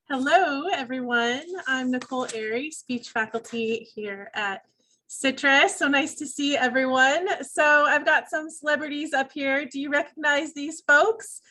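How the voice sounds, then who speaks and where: A young adult woman, a speech professor, giving an upbeat, clear presentation over a video call. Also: sits high, pushed and bright.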